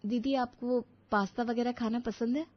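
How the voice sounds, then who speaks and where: A woman's voice: on the high side, steady tone, moderate pace, dull audio, voice pushed up, close to an everyday voice. A woman voicing a timid, polite and wistful young new bride in a story.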